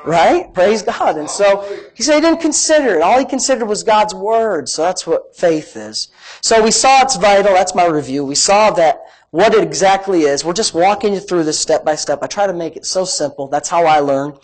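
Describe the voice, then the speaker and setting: Male preacher, high-pitched big voice, folksy and emphatic teaching delivery, repetitive for emphasis. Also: expressive, normal speed, poor audio. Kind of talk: sermon, conversational teaching. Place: live-streamed church service, amplified.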